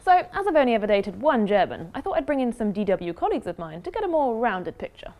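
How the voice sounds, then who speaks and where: A woman's voice: fairly high pitch, big pitch swings, fast talker, a little mellow. A woman in her thirties presenting a scripted show in an upbeat, wry way. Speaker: host. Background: music.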